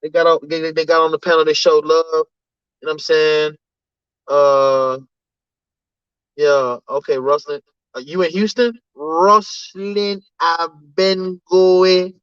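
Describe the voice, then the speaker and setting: A laid-back man hosting a livestream chat, his voice a bit higher than most men's. The sound is muffled and dry, with no room around it. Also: level tone, slow, a bit bright, clean tone, no echo. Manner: laid-back, reads chat names aloud, repeats "you know what I'm saying". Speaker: livestream host.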